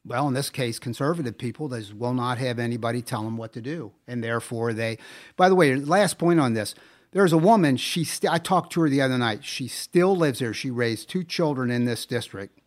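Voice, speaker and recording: A man's voice: mid-range voice, fairly quick. Man chatting casually as podcast co-host. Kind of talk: casual conversational podcast talk.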